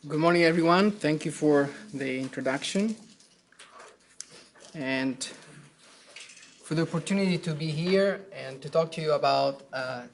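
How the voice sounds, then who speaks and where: A surgeon from Italy with an Italian accent gives an academic lecture, pausing often with fillers and restarting phrases. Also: male voice, a little high, slight background noise. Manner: hesitant, many fillers and restarts. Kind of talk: academic lecture at grand rounds. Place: lecture hall.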